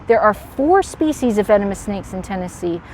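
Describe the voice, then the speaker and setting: A woman presenting as a park educator to children, with an animated manner, explaining and putting questions to young viewers. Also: mid-range voice, a little fast, a little hiss or hum. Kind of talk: animated educational read-aloud and animal demonstration.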